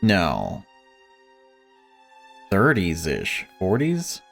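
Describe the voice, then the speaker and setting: A man's voice: low voice, huge pitch swings, extremely slow, gravelly, a bit bright, faint music behind. A man reading a visual novel aloud as a let's-player, with informal, sweary asides. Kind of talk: narration and casual reactions to a visual novel.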